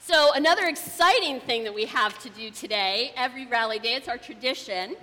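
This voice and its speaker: A woman's voice: on the high side, fairly quick, sharp tone. A woman who leads a church's faith formation program, addressing the congregation through a microphone with enthusiastic, thankful, rhythmic lists. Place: church sanctuary. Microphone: handheld or stand microphone. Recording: reverberant church hall, faint hiss.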